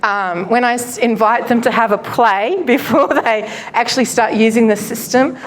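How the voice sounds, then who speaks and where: A woman pastor giving a live presentation to an audience at a launch event, loud and chatty, often laughing and poking fun at herself, her microphone cutting in and out. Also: fairly high pitch, moderate pace, a little hiss or hum. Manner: loud, chatty and self-mocking; laughs often while talking. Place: event venue.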